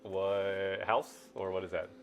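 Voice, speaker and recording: A man interviewing someone in a casual chat, with a halting, laid-back way of talking. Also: sits low, level tone, clean tone.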